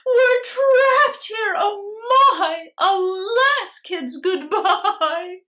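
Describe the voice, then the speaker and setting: A woman's voice: very high voice, expressive, drawn-out words, sharp tone, pitched above the performer's own voice, heavily acted. A woman voicing a stern, dramatic female schoolteacher from a children's storybook, scolding and emphatic. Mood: panicked wailing. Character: stern, hysterical female second-grade schoolteacher who hates buildings.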